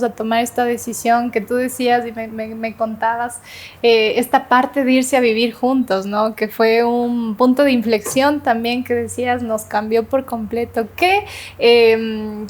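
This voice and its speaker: A woman hosting an interview podcast in casual chat, often backing up her guest with short affirmations. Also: on the high side. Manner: frequent affirmations, friendly interjections. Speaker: podcast host.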